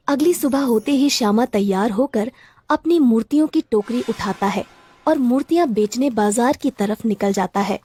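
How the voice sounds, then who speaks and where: A woman's voice: a little high, warm tone. A woman narrator reading a scripted children's story.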